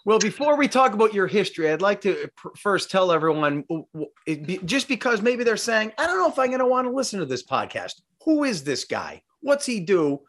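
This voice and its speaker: A man's voice: high voice, big pitch swings, fairly quick, few pauses, a bit bright. A man hosting a podcast, interviewing in a casual, playful and teasing way.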